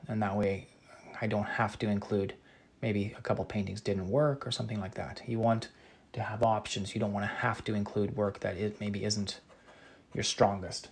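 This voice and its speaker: A Canadian man in his thirties, talking casually while he paints. His voice is low and gravelly with a fairly flat delivery. He rambles with ums and restarts but articulates some words very precisely. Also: moderate pace. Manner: relaxed, thinking aloud with many ums and restarts. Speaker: painter answering live chat. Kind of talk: casual live-stream chat while painting.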